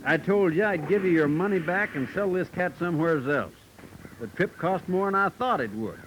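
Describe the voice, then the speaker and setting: An actor playing a tough, folksy cowboy animal trapper with a Western American drawl in a 1940s radio drama. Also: male voice, high voice, animated, normal speed, muffled recording. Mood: indignant. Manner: folksy, rustic slang, plainspoken. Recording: noisy old 1940s radio recording.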